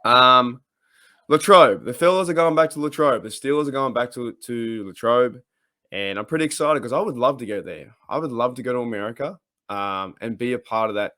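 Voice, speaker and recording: A man's voice: mid-range voice, pushed and bright, no echo, studio quality. A man with an Australian accent hosting a casual sports podcast, talking in a rambling, hesitant way. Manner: rambling, hesitant, halting delivery. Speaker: podcast host.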